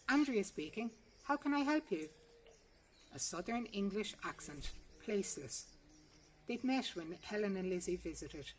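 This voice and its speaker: Low-voiced woman reading fiction, birdsong behind. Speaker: reader. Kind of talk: literary short story narration. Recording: distant mic, clear birdsong and outdoor noise.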